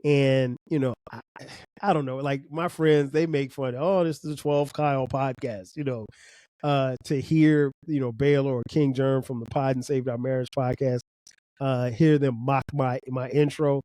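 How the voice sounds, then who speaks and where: Slightly nasal South Carolina man, mellow. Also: medium pitch, fairly even intonation, moderate pace, no room sound. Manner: rambling, conversational, many fillers and restarts. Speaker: podcast host. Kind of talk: casual solo podcast monologue.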